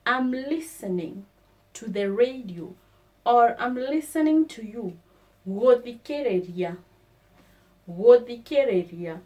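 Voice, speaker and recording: Slow, deliberate woman teaching vocabulary. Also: on the high side, darkish tone. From Kenya. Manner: slow and deliberate, repeating each word. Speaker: language teacher.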